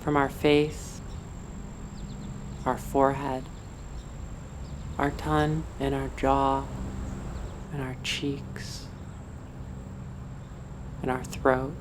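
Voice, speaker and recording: Woman, guided meditation narration, very slow pace, deliberate, soothing, light insect noise in background. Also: a bass voice, completely flat delivery.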